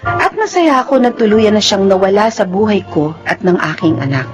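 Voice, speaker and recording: A woman's voice: mid-range voice, sing-song, fairly quick, music in the background, natural voice. An actress playing a hurt, proud single mother in a radio drama, with a Filipino accent and emphatic delivery. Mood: resolute, reflective.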